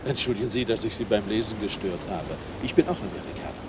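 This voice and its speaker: An actor playing a chatty, forward, plump American hotel guest in a radio play. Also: male voice, on the low side, quick pace, background noise, natural voice. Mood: friendly, chatty.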